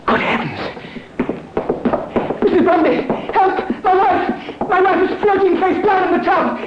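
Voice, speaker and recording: An actor playing a smooth-talking, scheming bridegroom who feigns devotion, solicitous and theatrical, in old-time radio drama style. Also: male voice, very high voice, expressive, measured pace. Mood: frantic. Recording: muffled, noisy vintage radio recording.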